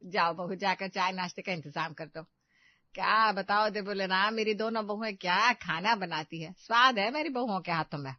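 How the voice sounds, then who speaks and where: Lady as bossy saas in a story, older, mid pitch, shows off and gives orders, dry close sound. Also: moderate pace, no room sound, dull audio, close to an everyday voice. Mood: boastful. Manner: instructing, chatty. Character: proud, bossy older mother-in-law, showing off.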